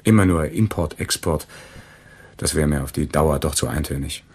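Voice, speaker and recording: An actor playing a loyal, reassuring family friend in a German radio crime drama. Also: male voice, rumbling low, moderate pace, darkish tone, husky, exaggerated voice. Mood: wry.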